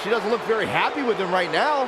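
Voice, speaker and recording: An actor playing an excitable commentator in a wrestling video game, loud and projected. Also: male voice, high voice, fast, heavy background noise. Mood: excited. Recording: loud music and crowd behind.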